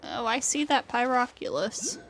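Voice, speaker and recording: A woman's voice: a little high, very animated, normal speed, a bit bright, slight background noise. A woman giving casual live gameplay commentary as a streamer, with a blunt, offhand way of talking. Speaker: game streamer. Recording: game music behind the voice.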